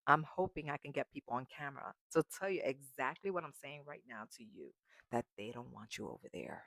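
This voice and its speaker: A woman ranting in a travel vlog, emphatic and exasperated, swearing freely. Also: rumbling low. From United States. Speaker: vlogger.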